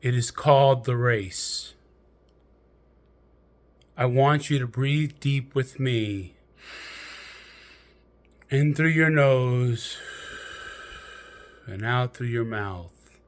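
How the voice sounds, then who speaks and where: A man narrating a guided meditation in a deliberate, measured storytelling manner with pauses. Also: mid-range voice.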